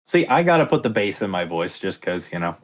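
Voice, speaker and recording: A man hosts a podcast quiz round with an animated, swinging delivery. He reads the statements aloud and keeps breaking off into jokes and tangents. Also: low-pitched, brisk. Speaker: quiz host. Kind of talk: casual comedy podcast quiz.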